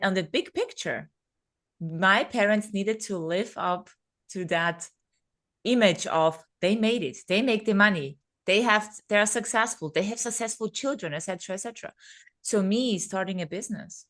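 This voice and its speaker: A woman's voice: medium pitch, moderate pace, fairly bright tone. Woman in her thirties telling personal stories. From Vienna, Austria, born in Serbia. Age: 30s. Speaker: podcast guest, speaker and coach. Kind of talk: conversational personal storytelling.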